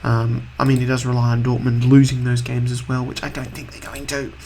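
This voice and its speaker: A man hosting a video game let's-play in a casual, rambling way, slightly husky from a cold. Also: mid-range voice, completely flat delivery, a little fast, dark tone, slight background noise. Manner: rambling, offhand, chatty.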